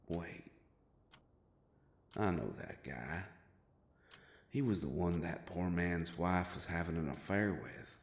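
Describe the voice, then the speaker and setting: A man playing a kindly, protective werewolf private investigator in an intimate audio drama, with a gravelly voice and an easygoing, folksy way of talking. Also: low-pitched, full character voice. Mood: wary, suspicious.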